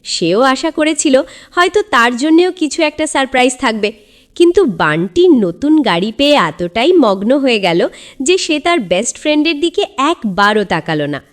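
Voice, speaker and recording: High-pitched, animated woman storyteller. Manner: theatrical, suspenseful. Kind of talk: dramatic scripted children's story narration.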